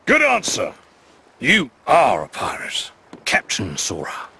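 A roguish British pirate captain for a video game. His voice is rough and bright, with very lively swings in pitch. He talks in flowery, slurred, swaggering phrases. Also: takes it slowly, heavily acted. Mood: grandiose, wistful. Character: roguish, theatrical pirate captain, a sly man. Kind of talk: dramatic video game cutscene acting.

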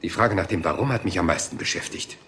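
An actor playing a dogged middle-aged police inspector in a radio crime drama, patient and musing, circling back to small details. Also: male voice, low-pitched, fast talker, a bit bright, a little hiss or hum. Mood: calm.

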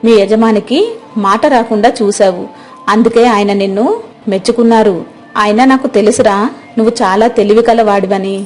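Woman acting as proud village mother, female, doting, affectionate, medium pitch, storybook dialogue, soft music. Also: steady tone, brisk, lightly acted.

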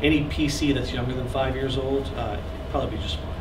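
A man's voice: mid-range voice, brisk. A man in his thirties running a live recording workshop, explaining gear and joking with his audience. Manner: chatty, joking with the audience, gesturing while explaining. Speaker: recording clinic instructor. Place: small classroom with seated audience. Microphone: camera at the back of the room. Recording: echoey room heard from far off, noisy.

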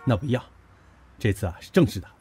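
An actor playing a passionate, boyish young man in an audio drama, with a husky, insistent delivery. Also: medium pitch, expressive, fast talker, warm tone, a little hiss or hum, close to an everyday voice. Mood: playfully possessive. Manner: emotional, insistent. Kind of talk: Chinese audio drama acting.

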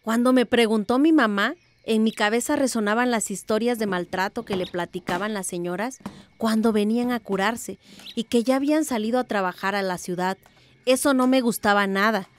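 A woman's voice: fairly high pitch, normal speed, a little hiss or hum, close to an everyday voice. A woman playing a thoughtful, determined middle-aged village aunt in a radio drama, speaking earnestly and reflectively.

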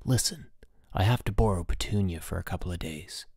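A man voicing a gruff, scheming schoolboy in an audiobook, with a wry, muttering, hushed delivery. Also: sits low, a little fast, dry recording, studio quality.

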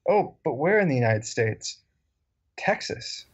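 A man's voice: medium pitch, big pitch swings, a little slow, husky. Wry man on a dull lo-fi recording. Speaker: podcast co-host. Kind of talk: casual podcast discussion.

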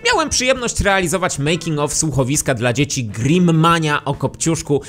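Higher-voiced man hosting a vlog. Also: expressive, normal speed, fairly bright tone. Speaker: YouTube host. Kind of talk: scripted vlog presentation to camera. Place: small decorated home studio. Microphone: close studio microphone. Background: faint music.

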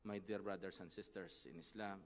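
Low-voiced man hosting with halting pauses. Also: fairly even intonation. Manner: measured, with frequent "uh" pauses. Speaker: host. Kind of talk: formal introduction. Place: outdoor stage at night. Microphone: desk microphone. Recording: long reverb of a large open venue.

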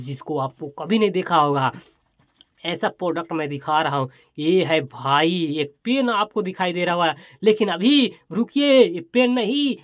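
A man's voice: fairly high pitch, no room sound. Male product-showcase host, chatty, exclamatory and repetitive, casual demo style, close dry sound with lo-fi dullness. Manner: exclamatory, chatty, repeats phrases while demonstrating. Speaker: toy and gadget review host.

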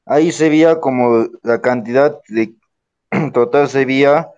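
A man's voice: mid-range voice, a little slow, darkish tone, smooth, highs cut off. A man speaking as a student in an online class, hesitant and searching for words as he answers.

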